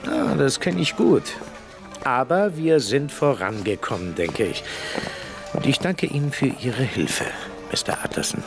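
An actor playing a brisk, methodical police inspector in a radio drama, deliberate and probing. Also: male voice, medium pitch, animated, music in the background. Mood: businesslike. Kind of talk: radio play acting.

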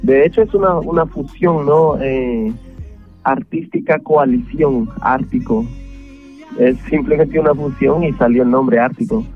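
A man from Guatemala speaks over a tinny, narrow phone line, his voice at an ordinary middle pitch. He talks in a relaxed, conversational way, full of fillers and little tags. Faint music sits underneath. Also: unhurried. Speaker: musician guest. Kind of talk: radio interview.

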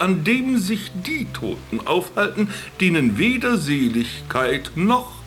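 A worldly former businessman in a radio drama. His delivery swings widely in pitch, dry and ironic, teasing like a mock lecturer. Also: a bit bright, heavily acted. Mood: mock lecturing. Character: sardonic former businessman stranded in a camp, teasing his companion. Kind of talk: German radio play dialogue.

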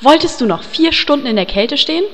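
A woman's voice: high-pitched, animated, a little fast, big-room sound, a little hiss or hum. A woman playing a vain, brazen, assertive adventurer with a haughty, sassy manner in a comic audio drama. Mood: indignant. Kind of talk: comic radio play parody acting.

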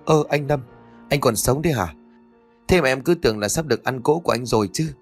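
A man's voice: mid-range voice, brisk, faint music behind, voice pushed up, natural voice. Man playing a wailing, melodramatic young crook sidekick. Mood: startled, bewildered. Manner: lamenting in rhymed, exaggerated mock-mourning.

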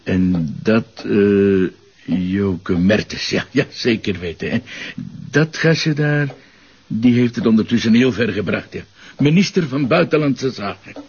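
A low, animated old Flemish schoolmaster. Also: male voice, measured pace, slightly rough, full character voice. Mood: nostalgic. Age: older. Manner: hesitant, repeats himself. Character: old retired schoolmaster, reminiscing, chatty and hesitant. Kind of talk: broadcast crime drama acting. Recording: dull lo-fi sound with hiss.

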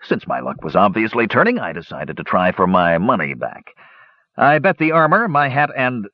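A man's voice: fairly low pitch, wildly swooping pitch, moderate pace, highs cut off, pitched above the performer's own voice. A man voicing a sheepish, wheedling dwarf gambler in a fantasy audiobook, confessing with hedges and sighs. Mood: hedging. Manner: hesitant, hedging, sighing confessions with folksy turns.

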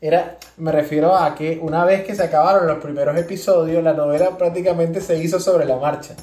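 A woman's voice: deep voice, steady tone, a little fast, mellow, reverberant. A woman with a Venezuelan accent chatting casually as a podcast co-host, explaining things through examples.

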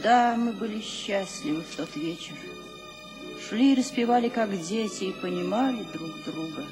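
A man's voice: high-pitched, moderate pace, natural voice. An actor playing a nostalgic man reminiscing in a radio drama. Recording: loud music behind radio-play voice.

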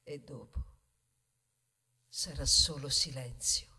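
A woman's voice: rumbling low, fairly even intonation, slow, bright, forceful tone, husky. A woman performing a theatrical ballad, half speaking and half singing in poetic, rhythmic phrases. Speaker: stage actress and singer.

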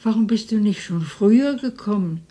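A woman's voice: medium pitch, expressive, moderate pace, dark tone, natural voice. An actress playing a lonely, motherly elderly neighbour in radio drama dialogue, with a fussing, hospitable manner. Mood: gentle, hospitable. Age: older.

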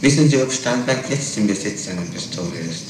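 A man with a lowish voice questions someone formally, phrase by halting phrase. The old 1960s tape is noisy and full of echo, with his voice at a distance from the microphone. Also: expressive. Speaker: interrogator. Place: echoey room, recorded from a distance.

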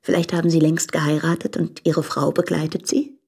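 An actress playing a proud, candid, impoverished young translator in a German radio play, speaking deliberately in a breathy voice. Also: female voice, low voice, little pitch movement, a little mellow, soft background music. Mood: composed, curious. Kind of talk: German radio play, reading letters aloud.

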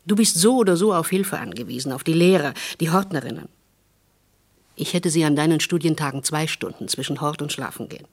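An actress playing a motherly, resolute middle-aged woman, earnest and measured, in a German radio play. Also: sits low, animated. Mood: earnest, urging. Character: motherly, resolute childless older neighbour woman, former prisoner.

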